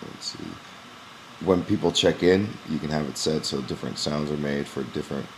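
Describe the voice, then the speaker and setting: A young man who runs a gym, walking through a software screen recording in a casual, explanatory way with frequent "um" pauses, recorded on a webcam at his desk. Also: extremely low pitch, slight background noise. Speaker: gym owner demonstrating software. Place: small indoor room at a computer. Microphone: webcam in front of the face.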